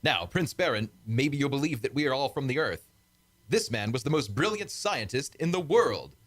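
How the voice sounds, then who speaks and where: A man's voice: medium pitch, animated, normal speed, a bit bright. A man playing a brave, earnest young American space hero in a theatrical old-time radio serial style. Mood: glad, hearty.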